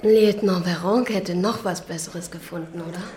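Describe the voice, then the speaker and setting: An actress playing a grave, quietly reproachful police officer in a German radio crime drama. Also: female voice, fairly low pitch, fairly even intonation, moderate pace, a little hiss or hum, close to an everyday voice. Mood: somber, wistful. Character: somber, quietly reproachful female police colleague. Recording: roomy, echoing radio play scene.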